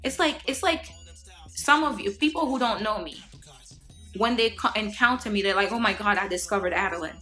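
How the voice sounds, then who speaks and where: A woman's voice: medium pitch, moderate pace, sharp tone, soft background music. A woman from Haiti talking earnestly to camera, lecturing her viewers with many fillers and restarts. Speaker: vlogger. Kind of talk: casual monologue to camera.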